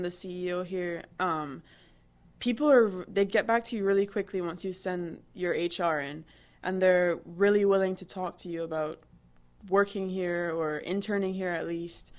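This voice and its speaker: A woman's voice: fairly low pitch, unhurried. A woman in her twenties from Barbados, answering interview questions in a chatty way, with many fillers and restarts. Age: 20s. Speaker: interviewee, new employee.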